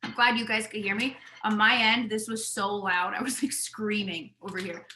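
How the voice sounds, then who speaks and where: Woman vlogger, voice fading, rambly and chatty, bright tone. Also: mid-range voice, normal speed, slight background noise. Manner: rambling, talkative, animated asides. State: losing her voice. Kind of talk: casual chatty product review.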